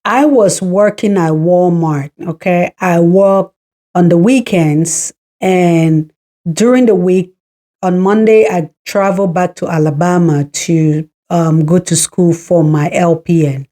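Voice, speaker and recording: A woman's voice: lowish voice, mellow. A woman in her forties from Cameroon, hosting a podcast and telling her personal story in a reflective, encouraging way. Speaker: podcast host.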